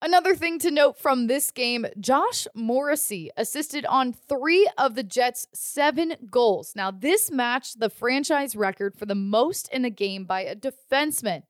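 High-voiced woman hosting sports news. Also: animated, a little slow, hardly any pauses, dry recording, clean studio sound. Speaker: podcast host. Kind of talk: scripted sports news narration.

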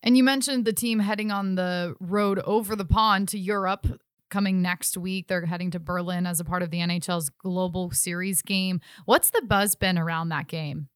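A woman's voice: fairly low pitch, steady tone, moderate pace, smooth, no echo, clean studio sound. A young adult woman hosting a sports podcast with polished presenting and interviewing.

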